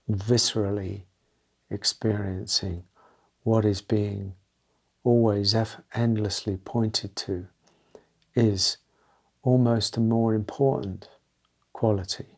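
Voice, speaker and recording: Guy doing a guided meditation, really slow, creaky low voice, talks in little bits with pauses. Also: steady tone, a little mellow. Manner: halting short phrases with pauses. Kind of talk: guided meditation, unscripted gentle talk.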